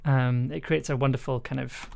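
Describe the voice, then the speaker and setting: A woman's voice: extremely low pitch, fairly even intonation, moderate pace, creaky, noisy background. A woman chatting casually and thinking aloud on a livestream while editing audio. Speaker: audio drama producer and streamer. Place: indoors at a computer, livestream.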